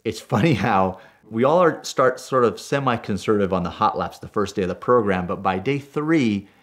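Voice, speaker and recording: A man with a low, deep voice tells a story in a relaxed, chatty way. He is a native Spanish speaker who works as a driving instructor. His storytelling is animated and conversational. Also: moderate pace. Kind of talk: casual storytelling.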